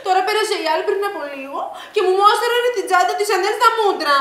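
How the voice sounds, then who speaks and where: Woman doing a cypriot-accent diva wife, whiny and dramatic, super high voice, echoey room far off mic. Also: expressive, pushed and bright, heavily acted. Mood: sulky. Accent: Cypriot Greek. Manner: whiny, theatrical complaining. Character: whiny Cypriot wife, aspiring nightclub singer. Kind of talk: comedy sketch acting.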